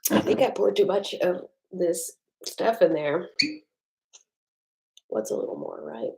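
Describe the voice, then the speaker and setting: An American woman in a relaxed late-night livestream, talking loosely with her viewers. She reads their comments aloud and pokes fun at herself in an easygoing, chatty way. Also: lowish voice, a little slow, gravelly, noisy background. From United States. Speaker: livestream host. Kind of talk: casual live chat with viewers. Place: home at night.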